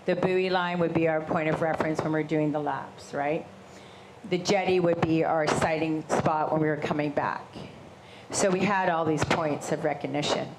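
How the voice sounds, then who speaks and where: Woman, big animated voice, lowish pitch, live talk to an audience, noisy room recording. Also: moderate pace. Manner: big voice, animated, gestures while talking. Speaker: shark attack survivor and guest speaker. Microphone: handheld microphone.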